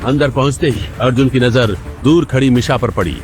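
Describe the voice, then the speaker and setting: A man's voice: mid-range voice, a little fast, darkish tone. A man narrating a dramatic audio story in a scripted storytelling style. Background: background music.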